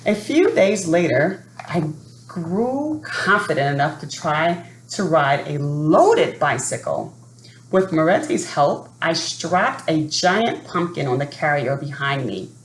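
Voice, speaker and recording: A woman reading a children's picture book aloud in an expressive, unhurried manner. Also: low voice. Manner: expressive, unhurried, adds asides to listeners. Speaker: storybook reader. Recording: roomy echo, faint background noise.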